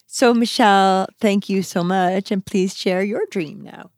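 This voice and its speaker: A woman's voice: mid-range voice, darkish tone, no room sound. A woman hosting a podcast in casual conversation, affirming her guest and laughing often. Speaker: podcast host.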